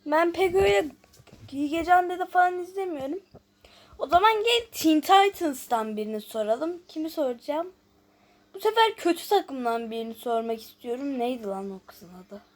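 A woman's voice: high-pitched, animated, moderate pace. A woman hosting a casual gameplay video, chatting and thinking aloud as she reads out questions and answers them. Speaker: YouTube host.

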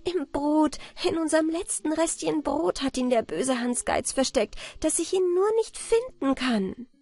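A woman voicing an emotional, warm-hearted fairy-tale heroine in a heartfelt, exclaiming way. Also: sits high, measured pace, voice pushed up. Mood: joyful.

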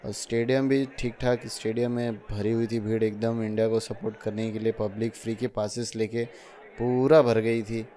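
A man's voice: fairly low pitch, flat delivery, normal speed, dark tone. A young man narrating his daily vlog in a chatty voice-over, often addressing viewers as friends. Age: young adult. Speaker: vlogger.

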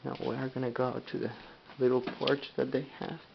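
A man giving a halting, casual walkthrough tour inside a house, filmed on a handheld camcorder. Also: medium pitch, little pitch movement, gravelly. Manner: halting, restarts often, plain speech. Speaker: home tour guide. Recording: muffled lo-fi camcorder audio with faint noise.

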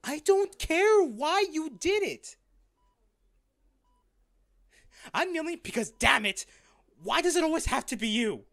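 A man playing a wealthy, devoted, wounded lover in an intimate, halting audio drama. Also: extremely high pitch, lively intonation, gravelly, a bit bright, no room sound, studio quality, heavily acted. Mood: anguished, furious. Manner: slow, halting, emotional delivery. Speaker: voice actor in an audio roleplay.

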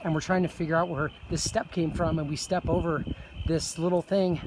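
A man's voice: a little high, little pitch movement, fairly quick. A man exploring woods at night, talking bluntly and nervously, often swearing. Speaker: bigfoot researcher. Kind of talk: excited field exploring. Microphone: handheld phone camera. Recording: windy outdoor phone audio.

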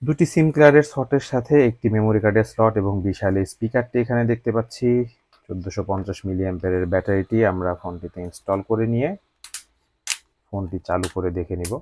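A man's voice: fairly low pitch, fast talker, darkish tone, slight background noise. A man with a Bangladeshi accent narrating a scripted product unboxing review as the channel's host.

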